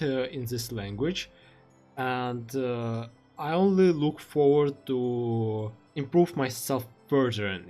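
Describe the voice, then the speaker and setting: Russian accent guy in his 20s, streamer reading his own script, lots of uh pauses, medium voice, bit of music behind. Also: mid-range voice, a little mellow. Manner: careful, halting delivery with frequent uh fillers. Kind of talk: reflective read-aloud monologue.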